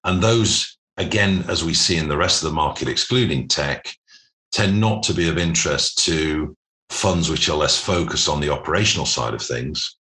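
A man speaking as an interview guest, explaining business matters in measured, corporate phrasing. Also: rumbling low, pushed and bright, low-quality recording. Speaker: podcast guest, private equity investor.

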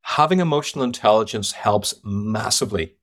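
A man's voice: fairly low pitch, animated, fairly quick, fairly bright tone. A man with an Irish accent, a guest on a podcast interview, telling stories emphatically and giving blunt verdicts. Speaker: guest sales trainer.

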